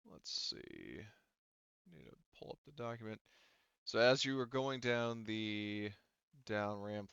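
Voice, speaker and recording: A man acting as game master, talking casually and haltingly as he thinks aloud during a tabletop game. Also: lowish voice, gravelly, fairly bright tone, smooth, no echo, dull audio.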